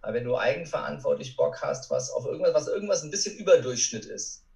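A man's voice: mid-range voice, wildly swooping pitch, fast talker, vocal fry, a little mellow. A man giving an informal, emphatic motivational talk as a coach, addressing the listener directly. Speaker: podcast guest, courage coach. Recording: roomy echo, distant from mic.

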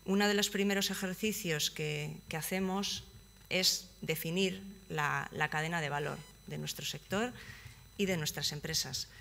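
A young woman giving a conference presentation, pausing often with fillers and restarting phrases, seated at a table in a conference hall. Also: deep voice, vocal fry, a bit bright, a little hiss or hum. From Basque Country, Spain. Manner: hesitant, many fillers and restarts. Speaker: project manager presenting at a conference.

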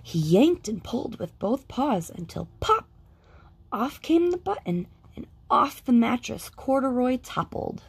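A woman reading a children's picture book aloud with a lilting, expressive storytelling manner. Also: fairly high pitch, a little slow, creaky, slight background noise. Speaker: storybook reader.

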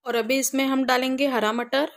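A woman hosting a cooking channel, calmly narrating a recipe step by step in her home kitchen. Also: high-pitched, normal speed, roomy sound, a little hiss or hum. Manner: calm, instructional, repeats "we will add".

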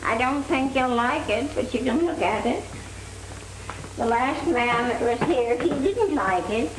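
A woman's voice: a little high, animated, unhurried. An older woman playing a weary, discouraging boarding-house landlady in 1930s film style. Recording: noisy, echoey, distant 1930s film sound.

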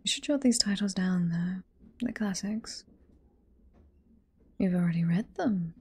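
Casting: actress as teasing older female librarian, British accent, ASMR roleplay. Hushed, very airy whisper, slow and sweet. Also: mid-range voice, expressive, exaggerated voice. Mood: chatty, apologetic. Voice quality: soft, breathy whisper.

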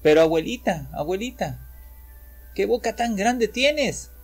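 An eager little girl from a storybook, bubbling with excitement, as a man voices her. She sounds high and light, with soft music faint behind. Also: moderate pace. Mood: wondering. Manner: bright and excited.

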